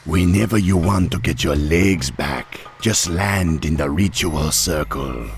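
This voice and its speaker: An actor playing a wily troll tribe chieftain in a fantasy game, with a gravelly Caribbean accent and a drawling, commanding manner. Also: male voice, sits low, measured pace. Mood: calm, sly. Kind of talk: fantasy game character acting. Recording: music under the voice.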